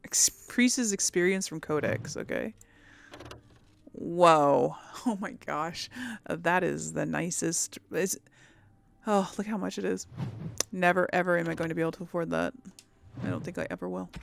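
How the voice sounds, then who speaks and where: A woman's voice: lowish voice, sing-song, normal speed, vocal fry. A woman giving casual let's play commentary, musing aloud and rambling to her viewers. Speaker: gaming video host.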